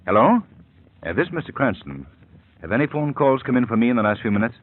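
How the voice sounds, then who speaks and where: An actor playing a suave male crimefighter in an old radio drama, with an expressive delivery. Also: medium pitch, fast. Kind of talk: 1940s radio acting. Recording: tinny old radio broadcast with faint hiss.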